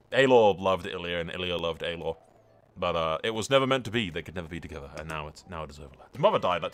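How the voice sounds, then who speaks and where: Man, forceful bright delivery, very lively pitch, blunt swearing, gaming host commentary, music behind. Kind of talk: casual let's-play commentary mixed with in-character roleplay narration.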